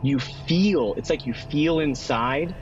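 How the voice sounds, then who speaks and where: A man narrating a tabletop roleplaying game as game master, descriptive and pausing for dramatic effect. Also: on the high side, lo-fi sound, a little hiss or hum. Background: music bed.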